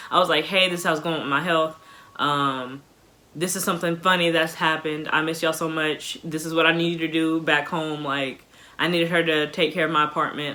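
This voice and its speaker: A woman's voice: fairly low pitch, sharp tone. A woman in her twenties with an American accent, giving casual advice to viewers in an informal, emphatic, conversational way. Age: 20s. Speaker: vlogger giving basic training tips.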